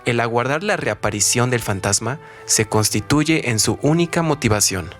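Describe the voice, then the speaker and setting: A man's voice: mid-range voice, expressive, moderate pace, fairly bright tone. Man reading scripted literary commentary over music. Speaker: narrator.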